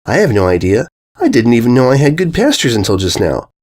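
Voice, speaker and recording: A man's voice: mid-range voice, big pitch swings, brisk, a little mellow. A man voicing a dim-witted, innocent adult female pony in a storybook, in an airy, naive and upbeat manner. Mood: airy, oblivious. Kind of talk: storybook narration character voice.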